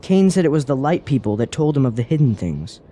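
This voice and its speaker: An actor playing a thoughtful, questioning adventurer in a fantasy radio drama, earnest and persuasive, with formal phrasing. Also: male voice, mid-range voice, big pitch swings, a little fast, warm tone.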